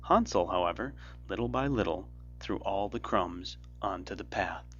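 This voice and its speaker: A man narrating a fairy tale as a storyteller, in a measured, expressive storybook delivery. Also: sits low, highs cut off, a little hiss or hum. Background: music.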